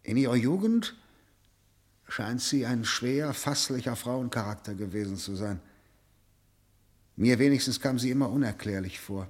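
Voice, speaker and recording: An actor playing a probing interrogator in a radio play, formal, articulate and pressing. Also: male voice, medium pitch, animated, moderate pace, pauses often, natural voice. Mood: searching, persuasive. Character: probing, insistent male interrogator of a prisoner.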